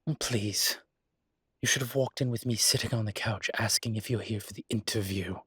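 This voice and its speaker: A man playing a suave ancient demon professor in an intimate roleplay audio drama, with a husky, breathy low voice and a dry, teasing, urbane manner. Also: normal speed, fairly bright tone, no room sound. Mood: bantering.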